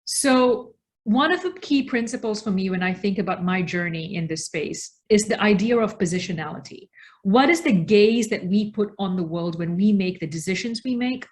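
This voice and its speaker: Female researcher from Australia, forties, medium pitch, reflective and deliberate panel delivery with emphasis on key words. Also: lively intonation, darkish tone. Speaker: researcher, invited panel speaker. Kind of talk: reflective webinar talk.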